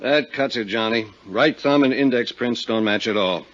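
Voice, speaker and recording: An actor in a 1950s radio drama playing an investigator's frank, resigned colleague. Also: male voice, mid-range voice, normal speed, over music, close to an everyday voice. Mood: resigned, matter-of-fact. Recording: dull, hissy 1950s radio recording.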